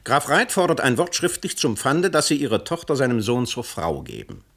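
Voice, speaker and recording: An actor in a 1960s German radio play, playing a calculating political negotiator, formal, pointed and emphatic. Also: male voice, mid-range voice, wildly swooping pitch, moderate pace, creaky, fairly bright tone, heavily acted. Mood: insistent.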